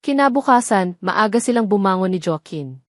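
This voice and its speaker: A woman's voice: mid-range voice, lively intonation, moderate pace, dry recording, studio quality. A woman reading a scripted, dramatic love story as an audio narrator.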